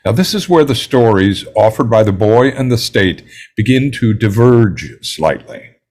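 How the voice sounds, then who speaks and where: Composed, methodical juror for a drama read, played by a man: lowish voice, precise and self-assured. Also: lively intonation, darkish tone. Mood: confident, methodical. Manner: measured, precise, enumerating. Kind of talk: script table read.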